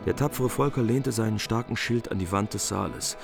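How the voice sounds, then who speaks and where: A man narrating a medieval epic in calm, measured prose for a radio play. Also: fairly low pitch, gravelly. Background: music.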